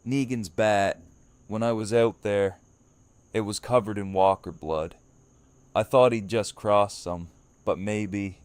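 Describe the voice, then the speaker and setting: A man playing a weary male survivor leader in a fan audio drama, talking in a tired, steady way. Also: on the low side, slow, smooth, no room sound. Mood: grim.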